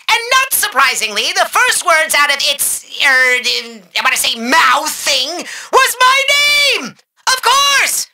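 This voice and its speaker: A man playing a pompous, short-tempered evil overlord in a video game, ranting in a shrill, grandiose, theatrical way. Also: vocal fry, pushed and bright, heavily acted. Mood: self-important, then gloating, triumphant. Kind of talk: exaggerated cartoon villain monologue.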